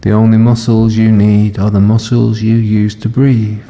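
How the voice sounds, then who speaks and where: A man leading a guided body scan meditation as a teacher, speaking gently and unhurriedly in short phrases with long pauses. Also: lowish voice, flat delivery. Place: live session in a reverberant room. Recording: long reverb of a hall.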